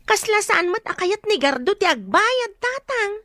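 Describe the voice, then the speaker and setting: High, bright, insistent Ilocano daughter. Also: female voice, sing-song, measured pace, no room sound, clean studio sound, heavily acted. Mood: concerned. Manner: pressing, questioning. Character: brisk, insistent daughter collecting canteen debts. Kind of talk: Ilocano radio drama acting.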